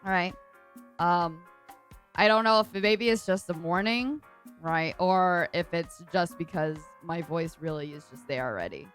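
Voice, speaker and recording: A woman live streamer chatting casually with viewers, rambling with lots of fillers, her voice a little deeper than usual today. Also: a little slow, a bit bright, soft background music.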